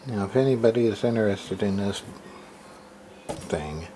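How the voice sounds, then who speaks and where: A man giving a casual, unscripted show-and-tell of equipment in a halting, matter-of-fact way, as if muttering to himself. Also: fairly low pitch, animated. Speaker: hobbyist radio repairer, video host. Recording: clearly noisy amateur recording.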